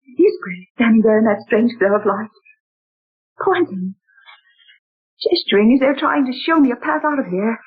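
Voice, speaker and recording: An actress playing a genteel woman recounting a ghostly night in 1940s radio drama style, theatrical and breathy. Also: on the high side, animated, normal speed, pauses often. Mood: frightened. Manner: dramatic, exclaiming. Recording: tinny old radio broadcast.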